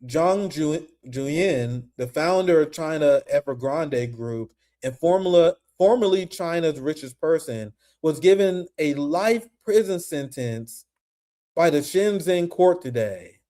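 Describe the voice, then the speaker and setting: Profane, animated man, higher voice. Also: slow pace. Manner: animated, profane, laughs often. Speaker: political commentary host. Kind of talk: casual livestream commentary.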